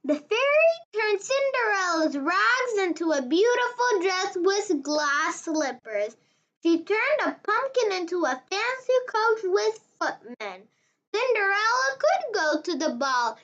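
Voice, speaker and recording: A very high-pitched child reads a fairy tale aloud in a lively, rising and falling voice. The reading is slow and careful, one word at a time. The recording is a little dull and lo-fi. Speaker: story reader.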